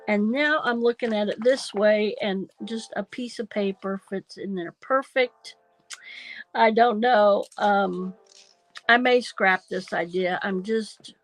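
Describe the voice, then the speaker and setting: A woman hosting a craft livestream, nasal from a sinus cold, thinking aloud slowly and hesitantly with many ums. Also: mid-range voice, fairly bright tone, low-quality recording. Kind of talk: casual craft livestream chat.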